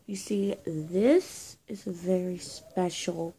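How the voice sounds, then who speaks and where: A young woman improvising a witch roleplay to camera in a halting, confiding, breathy voice that often drops toward a whisper. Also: lowish voice, warm tone, slight background noise. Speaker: roleplay video creator. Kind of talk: improvised roleplay to camera, playing a witch caring for someone hurt. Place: small indoor room with bare walls. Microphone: phone or webcam at arm's length.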